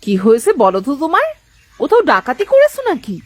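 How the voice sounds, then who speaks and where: A woman voicing a sharp-tongued, disbelieving village wife in a cartoon folk tale, full of scolding questions. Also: sits high, wildly swooping pitch, fast, no room sound, full character voice. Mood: scolding, suspicious. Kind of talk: cartoon moral-tale dialogue.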